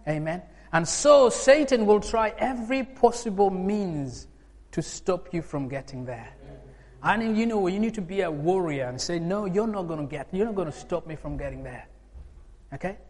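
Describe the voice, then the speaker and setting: A man in his forties, from Uganda, preaching to a congregation. His fairly high voice climbs into emphatic, laughing bursts. It rings with the long reverb of a church hall. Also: animated, normal speed, a little mellow. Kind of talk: sermon.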